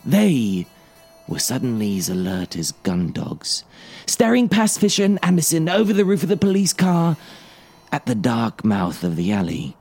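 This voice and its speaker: A man narrating a story in a theatrical, expressive, dramatic audiobook style. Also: mid-range voice, moderate pace, slightly rough. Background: music.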